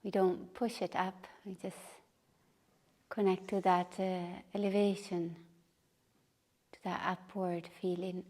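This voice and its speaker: A woman teaching meditation and gentle movement, guiding in short, unhurried phrases with pauses. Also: fairly low pitch, flat delivery. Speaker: taiji and qigong meditation teacher. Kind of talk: guided meditation and movement instruction. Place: retreat session, live group class.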